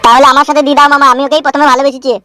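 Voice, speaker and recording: A woman's voice: high-pitched, flat delivery, very fast, pushed and bright, soft background music. A woman voicing a stubborn, lovesick boy in a comedy cartoon, whining and insistent. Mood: pleading.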